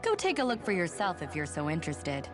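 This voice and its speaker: A brisk young resistance medic from a video game, teasing and sure of herself. Her voice sits a little low. Soft game music runs underneath. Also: wildly swooping pitch. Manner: teasing, self-assured. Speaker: medical officer.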